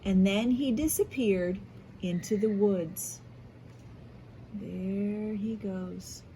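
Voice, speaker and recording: An American woman, a school media specialist, reading aloud and teaching young children in an expressive, encouraging, question-asking way. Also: medium pitch, a little hiss or hum, low-quality recording. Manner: expressive, encouraging, asks questions and pauses for answers. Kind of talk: read-aloud storytelling and lesson for young children.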